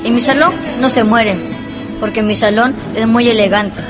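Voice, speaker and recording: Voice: medium pitch, steady tone, normal speed, music in the background, close to an everyday voice. An actor playing a boastful, entitled rich schoolboy who contradicts others, in a radio drama. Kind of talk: Spanish-language radio drama acting.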